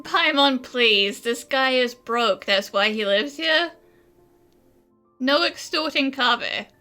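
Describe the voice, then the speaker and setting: A woman's voice: high-pitched, expressive, slow pace, bright, forceful tone, faint music behind, heavily acted. A woman playing a playful, teasing young traveler, easily amused, in video game voice-over. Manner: teasing, giggly.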